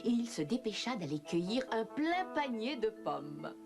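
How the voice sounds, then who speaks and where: A woman's voice: fairly high pitch, big pitch swings, normal speed. A woman narrating a scripted French children's fairy tale in storybook style. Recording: clear music behind the voice.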